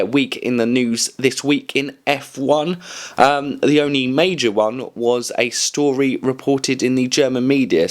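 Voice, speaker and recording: Croaky man hosting a weekly news show. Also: medium pitch. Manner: rambling, with many ums and restarts. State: croaky, recovering from a cold. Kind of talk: casual news commentary to camera.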